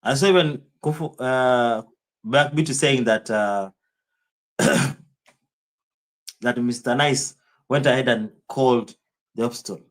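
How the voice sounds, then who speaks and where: Man, Kenyan accent, live streamer, casual commentary, rambling, reads out chat names, mid pitch. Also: slow pace, long pauses.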